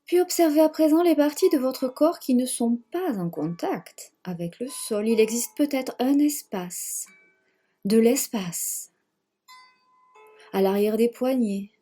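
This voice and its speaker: A woman leading a guided yoga nidra relaxation in a gentle, measured manner, leaving long pauses and repeating phrases. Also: on the high side, expressive, soft background music. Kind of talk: guided meditation, slow spoken relaxation.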